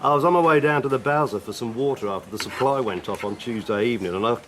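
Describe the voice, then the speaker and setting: Actor as a jovial, bumbling neighbour, noisy background. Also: male voice, medium pitch, quick pace, lightly acted. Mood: proud, gushing. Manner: chummy, bumbling, chuckling chatter. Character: stout, jovial, naive party-loyal neighbour and proud father. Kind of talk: radio drama acting.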